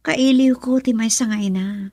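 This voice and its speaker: A woman's voice: a little high, moderate pace, dark tone, dry recording. A woman playing a troubled, yearning young wife and nurse in an Ilocano radio drama, speaking emotionally and pleadingly with an Ilocano accent. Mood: earnest, longing.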